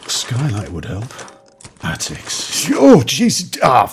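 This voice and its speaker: An actor playing a rattled, wry man in an audio drama, musing haltingly and swearing freely. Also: medium pitch, wildly swooping pitch, slight background noise, exaggerated voice. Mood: grumbling. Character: rattled man who has crossed between eras, wry, sweary. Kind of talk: modern audio drama monologue.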